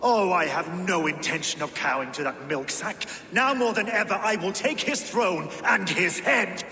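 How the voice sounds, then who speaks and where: A man's voice: high voice, animated, normal speed, sharp tone, echoey room, in a large hall, distant mic, slight background noise, full character voice. An actor playing a boastful, hot-tempered Danish warlord king who bellows threats, in a video game. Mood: enraged. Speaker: Danish war leader.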